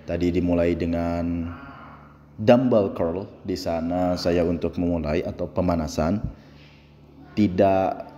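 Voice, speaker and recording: A man's voice: low voice, fairly even intonation, slow, pauses often, dark tone, lo-fi sound. A young man talking a workout video through in a casual, explanatory way, recorded in an indoor gym by a camera across the room. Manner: chatty, explains training step by step. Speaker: gym vlogger. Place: indoor gym with fluorescent lights. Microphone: camera on the floor across the room. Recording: reverberant gym hall with faint hum.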